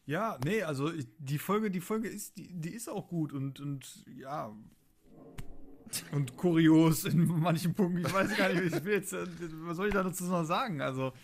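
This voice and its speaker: Hesitant, higher-voiced male co-host. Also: normal speed. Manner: hesitant, repeats himself while searching for words. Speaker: podcast co-host. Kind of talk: casual fan podcast chat.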